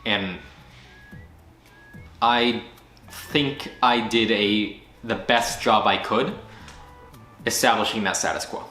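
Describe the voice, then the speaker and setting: A man's voice: lowish voice, slow pace, pauses often, pushed and bright, faint music behind. A man giving a casual solo recap of his channel's year, rambling eagerly with lots of restarts and self-corrections. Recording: echoey room.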